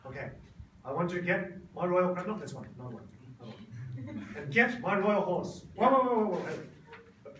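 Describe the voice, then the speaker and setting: Casting: man as bumbling generous monarch for puppet theatre, swooping high pitch, stammering, echoey distant room. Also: animated, moderate pace, long pauses, fairly bright tone. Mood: excited. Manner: stammering, repetitive, blustering. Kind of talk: amateur puppet show acting. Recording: echoey, distant live room, faint noise.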